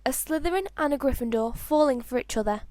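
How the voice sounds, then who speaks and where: A woman's voice: sits high, animated, quick pace, darkish tone, dry recording. A woman narrating a story like an amateur audiobook, reading expressively with the occasional stumble over words. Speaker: fan fiction narrator.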